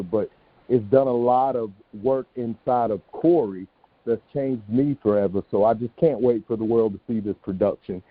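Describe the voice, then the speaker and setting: A man's voice: medium pitch, dry recording. A middle-aged man, a stage actor, giving a candid, reflective interview answer as a guest. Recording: tinny phone-like online call.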